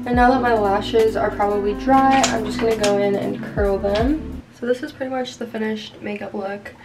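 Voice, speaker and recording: A woman's voice: mid-range voice, moderate pace, far from the mic, over loud music. A woman in her twenties chatting casually as she walks through her everyday makeup routine in her bedroom, talking to a camera set up at arm's length. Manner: chatty, relaxed, lots of "like" and "um". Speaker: vlogger. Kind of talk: casual makeup vlog chat. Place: small bedroom with bathroom doorway, indoors.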